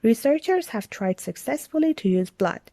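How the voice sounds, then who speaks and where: Mellow woman reading a passage aloud. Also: fairly high pitch, animated, normal speed, no room sound, clean studio sound. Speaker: model answer reader.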